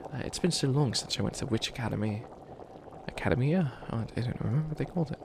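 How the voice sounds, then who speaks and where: Guy doing an old bunny witch for comedy asmr, creaky voice, stammers n rambles, sweet wannabe villain, some noise behind. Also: medium pitch, expressive, fast talker, natural voice. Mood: rambling, encouraging, then wistful, exasperated. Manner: rambling, stammering, apologetic, trails off. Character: ancient male bunny witch, failed villain, flustered and kindly. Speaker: mentor to a new apprentice. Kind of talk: improvised comedic ASMR roleplay.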